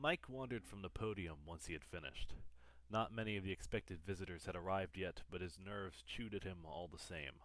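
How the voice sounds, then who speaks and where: A male narrator reads a scripted audio drama. His voice sits fairly low, and the sound is close and dry with little room to it. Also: brisk, few pauses, a bit bright, no echo.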